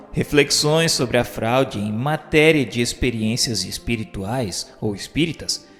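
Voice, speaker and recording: A Brazilian man reading formal scripted narration aloud in a measured, declamatory way, like an audiobook. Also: medium pitch. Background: music.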